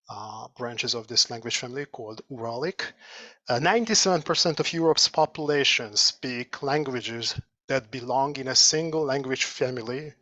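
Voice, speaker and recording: A man with a Hungarian accent, a sociology lecturer giving a measured, thoughtful seminar talk over a video call. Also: mid-range voice, bright, forceful tone, no echo. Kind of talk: academic seminar presentation.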